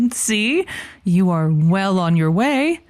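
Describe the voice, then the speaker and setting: A woman playing a gentle, encouraging call-in advice host in a comedy radio parody. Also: medium pitch, sing-song, extremely slow, dry recording, clean studio sound, soft background music.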